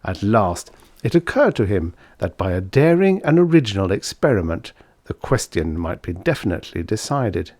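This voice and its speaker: A man narrates vintage fiction in a fairly low voice that moves freely up and down. His delivery is measured, with expressive emphasis on key words. The sound is close and dry, without room echo. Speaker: audiobook narrator.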